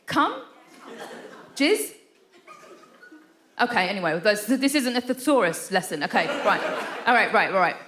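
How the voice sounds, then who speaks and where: A woman's voice: mid-range voice, sing-song, measured pace, long pauses, crowd noise. A woman performing live stand-up comedy in a conversational way, often tagging her lines with "right?". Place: on stage in a radio theatre. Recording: live theatre with audience noise. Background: audience.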